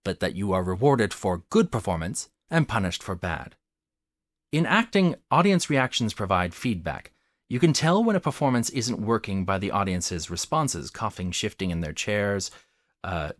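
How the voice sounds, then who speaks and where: A man with a low voice narrates a scripted video essay. His intonation rises and falls widely as he stresses key phrases in an articulate, teacherly way. The sound is close and dry. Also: no room sound. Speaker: host of a theatre commentary channel.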